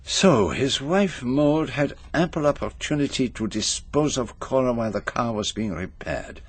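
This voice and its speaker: An actor in a radio drama playing a shrewd, courteous detective with a French-speaking Belgian accent, speaking precisely and deliberately. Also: male voice, medium pitch, fairly bright tone, slightly rough, full character voice. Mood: probing, businesslike. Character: shrewd, methodical, courteous foreign male detective.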